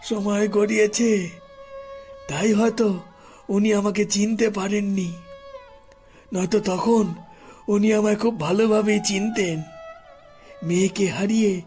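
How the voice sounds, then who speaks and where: A man acts out an old village grandfather, grave and wronged, in an audio horror drama. He speaks very slowly with halting pauses in a fairly high male voice, weighted with grief and accusation, over clear background music. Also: fairly even intonation, darkish tone. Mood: bitter grief. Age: older. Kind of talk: audio horror story acting.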